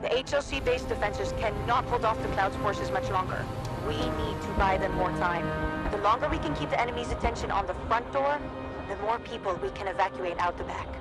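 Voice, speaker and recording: Game commander, male actor: determined, rallying, notably high male voice under very loud music. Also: fairly quick, natural voice, low-quality recording. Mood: rallying resolve. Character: determined male squad leader briefing fighters, rallying. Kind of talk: dramatic video game cutscene acting.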